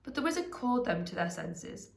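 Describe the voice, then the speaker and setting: Creaky-voiced woman reading a story, roomy echo. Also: fairly high pitch, big pitch swings, fairly quick. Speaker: staff member reading a story aloud. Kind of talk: expressive storybook narration.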